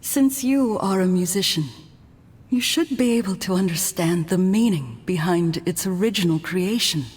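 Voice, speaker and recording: An actress voicing a serene young woman attuned to nature in a game, speaking in a slow, dreamy, descriptive way, with a long reverb on the recording. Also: on the low side, a little mellow, breathy edge. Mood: reverent.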